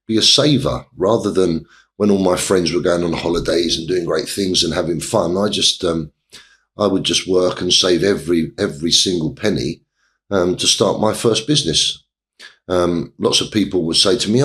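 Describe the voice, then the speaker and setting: A middle-aged man from England, a guest in an interview, telling his story in a halting way with many pauses and restarts. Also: low-pitched, fairly bright tone. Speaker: interview guest, property developer.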